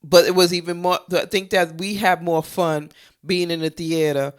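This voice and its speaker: A woman's voice: fairly low pitch, steady tone, moderate pace. A woman co-hosting a casual movie review, talking conversationally and repeating her points for emphasis. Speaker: co-host of a movie review show.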